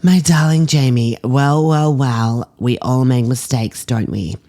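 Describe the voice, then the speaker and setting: A woman reads in the part of an affectionate old grandfather. The voice is low and creaky. The phrasing is fond and old-fashioned, like a doting letter to a beloved grandchild. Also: a little slow, dark tone. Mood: fond, forgiving. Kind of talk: letter read aloud.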